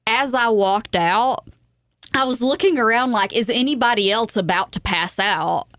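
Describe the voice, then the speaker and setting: A woman's voice: fairly high pitch, normal speed, a bit bright, dry recording. Woman chatting casually, close dry sound. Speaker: podcast co-host. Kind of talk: casual chat about films.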